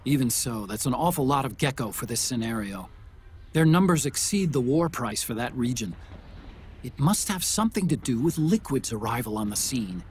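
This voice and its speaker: An actor playing a nerdy engineer giving a technical mission briefing over a radio link in a video game. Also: male voice, medium pitch, normal speed. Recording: game audio with steady noise.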